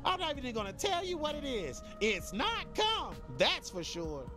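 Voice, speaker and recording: High swooping voice, sleazy chatty alien peddler, brash, rambling, video game comedy. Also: male voice, huge pitch swings, moderate pace, music in the background, heavily acted. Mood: gossipy, scornful. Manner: rambling, brash, salesman patter. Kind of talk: improvised-sounding comedic video game dialogue.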